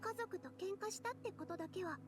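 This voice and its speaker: An actress voicing a gentle, earnest young female theater dancer in a Japanese video game dub, anxious yet resolute. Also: extremely high pitch, rapid-fire. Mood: regretful. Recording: game audio under loud music.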